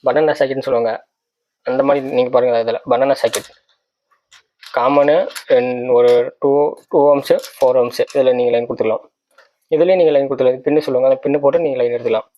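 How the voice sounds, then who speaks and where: Fast-talking man presenting. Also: medium pitch, fairly even intonation, dull audio. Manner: explanatory, repeatedly checking listeners follow. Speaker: tech channel presenter. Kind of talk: casual product walkthrough.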